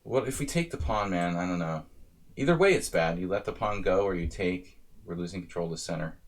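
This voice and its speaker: A man's voice: low-pitched, expressive, fairly quick. A man in his thirties casually commenting on his chess games on a live stream, joking with chat as he thinks aloud about moves. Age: 30s.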